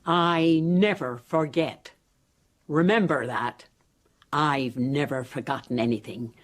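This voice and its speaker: A woman's voice: low-pitched, slow pace. An actress playing a menacing, vindictive old woman in a radio drama, speaking with ominous deliberation. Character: menacing, vindictive old woman, a tyrannical former prison wardress.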